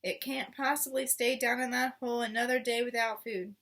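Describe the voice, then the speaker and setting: Casting: hulking, grumbling storybook maid, high voice, blunt and loud-mouthed a woman reader acting. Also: steady tone, fairly quick, far from the mic. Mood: calling out. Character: huge, grumbling servant woman with rolling pin.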